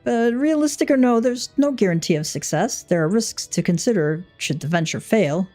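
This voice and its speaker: A woman's voice: medium pitch, expressive, moderate pace, darkish tone, soft background music, close to an everyday voice. A woman voicing an anxious, devoted inventor husband in a video game, speaking in a formal, wordy, self-doubting way. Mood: hesitant, worried.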